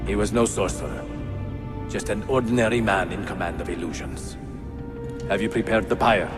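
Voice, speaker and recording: An actor playing a grave, resolute assassin in a game, speaking in a stern, solemn manner. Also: male voice, fairly low pitch, moderate pace, over loud music, lightly acted. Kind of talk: video game cutscene acting.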